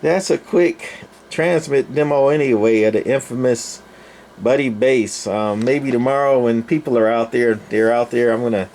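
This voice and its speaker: An older man with an average-pitched voice talks through a hands-on demo, casual and unscripted. He rambles in a folksy, halting way and leaves long pauses. Clear background noise sits behind him. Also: a little mellow. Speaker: presenter, CB radio collector.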